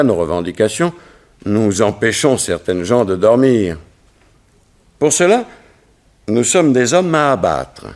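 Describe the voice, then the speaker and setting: A man's voice: mid-range voice, darkish tone. A man playing a middle-aged, troubled and dignified politician, in the formal, earnest manner of 1960s French radio drama. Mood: earnest, indignant. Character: troubled, dignified politician father of a teenage son.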